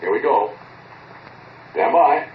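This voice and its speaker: A man playing a self-assured, terse inventor in 1940s radio drama style, brisk and matter-of-fact. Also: medium pitch, expressive. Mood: brisk, confident. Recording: muffled, echoey, noisy 1940s radio recording.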